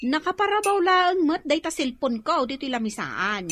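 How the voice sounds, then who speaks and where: An actress playing a bossy, chatty village wife with an Ilocano accent, bickering and dismissive in a radio comedy drama. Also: female voice, sits high, sing-song, normal speed, fairly bright tone, a little hiss or hum. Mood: bossy, irritated.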